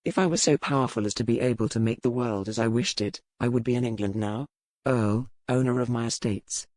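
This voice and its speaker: An actor playing a dangerous, brooding pirate earl in a romance audiobook, calm and measured, with formal old-fashioned phrasing. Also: male voice, mid-range voice, dry recording, close to an everyday voice. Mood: cool reproach. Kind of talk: dramatized romance audiobook dialogue.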